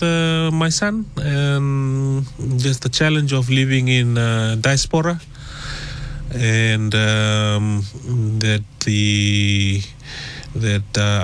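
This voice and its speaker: A man's voice: on the low side, a little hiss or hum. A middle-aged man from Fiji, a guest talking in a radio interview, hesitant and full of fillers and restarts. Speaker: guest author.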